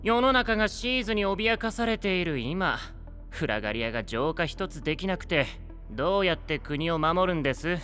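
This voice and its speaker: An actor playing a sly, coolly polite young knight in an anime voice drama, speaking formally with a teasing, probing edge. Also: male voice, sits high, big pitch swings, moderate pace, bright, forceful tone, full character voice. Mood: needling. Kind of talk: Japanese anime voice drama acting. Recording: long reverb, music behind.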